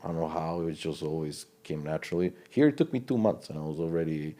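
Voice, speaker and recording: A man from Serbia speaks English with a Serbian accent in a very low, deep register. He talks loosely and casually, restarting sentences and trailing off as he goes. It sounds like a relaxed interview chat. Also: fast talker. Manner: loose, rambling talk with restarts and broken phrasing.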